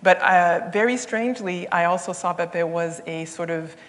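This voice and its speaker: A woman from Haiti, raised in Canada, hosts a conversation on stage. She speaks thoughtfully and haltingly, with many fillers. Her voice is very low, and it has a long reverb from a large hall. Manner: thoughtful, hesitant, frequent restarts and fillers. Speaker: event host and interviewer. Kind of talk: onstage conversation. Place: theater stage, seated in armchair.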